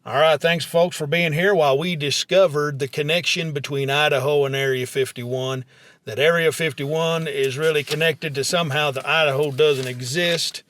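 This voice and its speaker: A man's voice: on the high side, fairly even intonation, normal speed, fairly bright tone. A man, hosting a comedy podcast in casual, folksy banter with unpolished country grammar.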